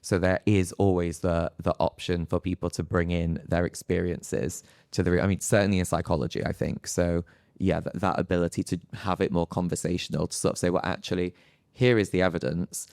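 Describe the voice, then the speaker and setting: A man's voice: low-pitched, fairly even intonation, fairly quick, gravelly, a little mellow, dry recording, studio quality. A man speaking as an academic panelist in a live discussion, phrasing his thoughts in a hesitant, reflective way. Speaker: panelist, psychology lecturer.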